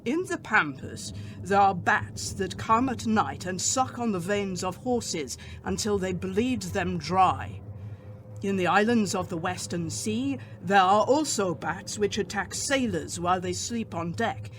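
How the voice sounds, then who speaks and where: An earnest, solemn older doctor in a radio drama. His voice sits rather high for a man, and his phrasing is formal and deliberate. A light noise runs behind him. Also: expressive, fairly bright tone. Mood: grave, urgent. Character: grave, earnest older male doctor and vampire hunter.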